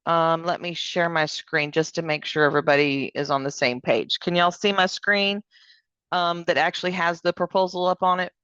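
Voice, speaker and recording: Hoarse woman on a video call. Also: on the low side, level tone, normal speed, fairly bright tone, no room sound, dull audio. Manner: explanatory, step-by-step, uses fillers. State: hoarse from allergies. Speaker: code publishing vendor presenting remotely. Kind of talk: remote business presentation.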